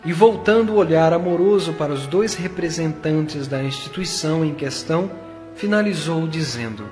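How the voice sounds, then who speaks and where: A man's voice: on the high side, normal speed, darkish tone. A man with a Brazilian accent reading scripted narration for an audio drama. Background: music.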